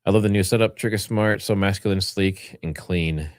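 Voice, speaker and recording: A man's voice: deep voice, moderate pace, no room sound, studio quality. A man hosting a livestream, giving informal, rambling commentary and partly reading from notes. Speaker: livestream host.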